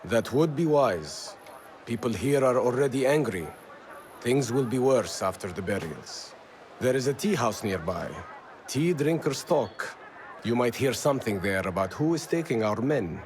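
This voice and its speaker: A man's voice: mid-range voice, expressive, unhurried, a little mellow, slight background noise, natural voice. An actor playing a proud male rebel leader in a game cutscene, defiant and fiery. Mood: calm. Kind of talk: video game cutscene acting.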